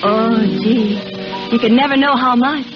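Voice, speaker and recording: A woman's voice: a little high, a little slow, over music, natural voice. An actress playing an eager young shopgirl in a 1930s radio drama, gushing and breathless. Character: young Irish-American shopgirl, eager and wide-eyed. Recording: muffled old radio broadcast.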